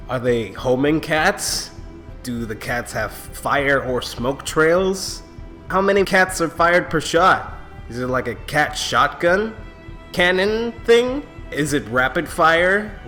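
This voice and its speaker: Young man, stuffy nose, rambling casual chat, mid pitch, faint music behind. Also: fairly bright tone. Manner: rambling, chatty, with many fillers and restarts. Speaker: YouTube channel creator. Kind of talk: casual vlog address to viewers.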